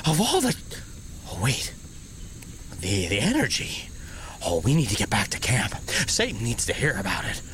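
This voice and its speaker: A man playing a bumbling, blustering demon henchman in a fantasy audio drama, halting and sarcastic with breathy exclamations. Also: medium pitch, wildly swooping pitch, fairly bright tone, a little hiss or hum. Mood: groggy, indignant.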